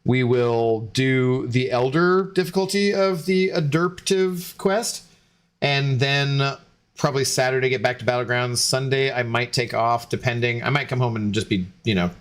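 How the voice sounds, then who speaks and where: A man's voice: mid-range voice, moderate pace. A young man, a game streamer, chatting loosely with viewers while tipsy, rambling with many fillers and restarts. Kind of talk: casual stream chat to viewers.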